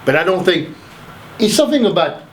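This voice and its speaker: A man's voice: high-pitched, sing-song, fairly quick, a little hiss or hum. A man in his sixties from Haiti, speaking casually in an interview and rambling through his memories with many restarts. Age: 60s. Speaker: interviewee, math professor.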